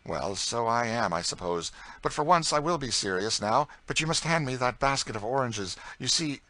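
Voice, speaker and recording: A witty, idle young gentleman talks with lazy irony, as a man reads him aloud. The voice is bright and clear-edged, mostly in a relaxed register. He teases and lectures in the same easy drawl. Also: mid-range voice, moderate pace, dry recording, pitched above the performer's own voice. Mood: teasing. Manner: bantering, ironic, then impassioned. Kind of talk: audiobook character voice.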